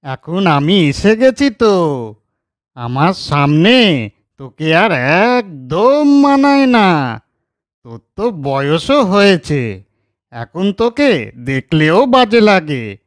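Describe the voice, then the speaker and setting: A man's voice: fairly high pitch, sing-song, slow, pauses often, no echo, clean studio sound. A man voicing a haughty young wooden table in a children's moral cartoon. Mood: smug, taunting. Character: haughty young new wooden table, mocking, later sorry.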